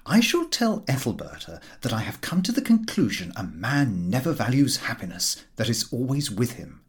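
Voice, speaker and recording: A man voicing a married Edwardian gentleman in an audiobook, restless and scheming, with a wordy, declamatory manner. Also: mid-range voice, big pitch swings, moderate pace. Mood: declamatory, resolute.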